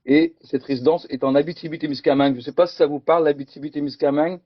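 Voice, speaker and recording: A man's voice: on the high side, fairly even intonation, quick pace, no echo, dull audio. A middle-aged man from France giving a conference talk with slides, speaking hesitantly and repeating words. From France, Picardy. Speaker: conference presenter.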